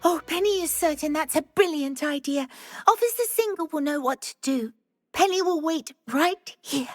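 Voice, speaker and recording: A woman's voice: high voice, normal speed, no echo, studio quality, faint music behind, close to an everyday voice. A woman voicing a small, timid and devoted female house elf in a video game, talking of herself in the third person. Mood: anxious, eager. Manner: refers to self in third person. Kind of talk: video game character acting.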